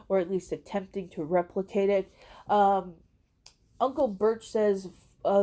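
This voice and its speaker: A woman's voice: mid-range voice, warm tone. A woman with a congested, stuffy nose hosting a casual educational talk, often checking in with "okay?". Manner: chatty, frequent "okay?" tag questions. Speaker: host.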